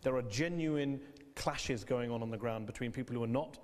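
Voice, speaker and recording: A man's voice: medium pitch, brisk, darkish tone. A man hosting a broadcast interview, pressing his guest with pointed, rhetorical questions and cutting in. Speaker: TV interviewer host. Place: debating hall with audience. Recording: long hall reverb.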